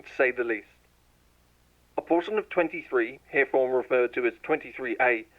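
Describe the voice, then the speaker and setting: A man playing a scientist dictating lab test logs in a measured, clinical way, in a scripted horror audio story. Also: medium pitch, muffled recording. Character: male lab scientist logging tests, growing frightened.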